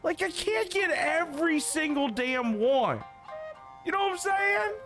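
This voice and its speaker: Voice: very high-pitched, expressive, measured pace. A game streamer chatting casually in a slangy, repetitive way. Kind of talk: casual livestream chat. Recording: game music behind voice. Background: video game music.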